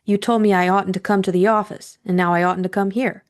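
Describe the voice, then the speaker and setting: A woman's voice: mid-range voice, steady tone, fairly quick, lightly acted. A woman voicing a jealous, nervous mistress in an audiobook detective story, pleading and complaining insistently. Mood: bitter, complaining.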